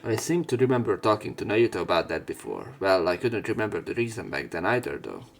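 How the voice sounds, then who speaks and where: A man with a fairly low voice reads a visual novel's lines aloud in a casual let's-play manner and drops jokey asides between lines. Game music plays faintly behind him. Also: lively intonation, brisk, creaky. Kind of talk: reading visual novel text aloud with commentary.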